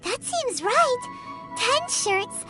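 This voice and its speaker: Game casting: little floating girl companion, very high and animated, chirpy and curious, loud score behind. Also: drawn-out words, full character voice. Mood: puzzled, curious. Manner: exclaiming, inquisitive. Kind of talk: video game voice acting. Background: game music.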